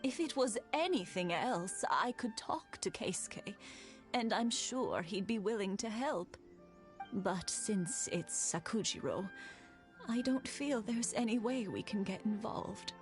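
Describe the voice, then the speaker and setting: A woman plays a fond elderly wife in a video game, reflective and gently teasing. She takes her time, and her pitch moves expressively. Faint music sits behind her. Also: animated, close to an everyday voice. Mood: concerned. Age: older. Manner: unhurried, reflective.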